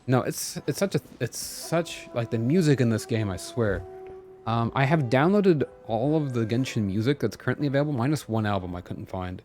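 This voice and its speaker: A man streams a game live in a casual, chatty way, swinging up and down in pitch as he talks to himself and to viewers. His voice sits at an average male pitch, with music playing faintly underneath. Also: expressive, normal speed, a little mellow.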